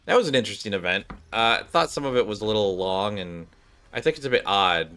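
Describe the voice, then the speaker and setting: A man's voice: fairly low pitch, expressive, quick pace, sharp tone, clear, resonant tone. A man giving casual, rambling gameplay commentary as a streamer, half muttering to himself and his viewers. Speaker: game streamer. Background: game music.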